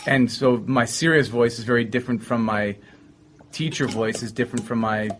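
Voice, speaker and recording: A man's voice: mid-range voice, fairly even intonation, moderate pace, background noise. A man co-hosting a casual podcast about teaching, wisecracking and self-mocking. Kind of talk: casual chat.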